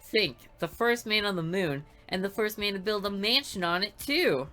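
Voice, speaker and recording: A woman plays a cheerful, dim-witted teenage boy in a visual novel, and he boasts with eager excitement. The voice is pitched higher than her narration and swings around a lot. Faint music plays underneath. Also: moderate pace, close to an everyday voice. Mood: excited.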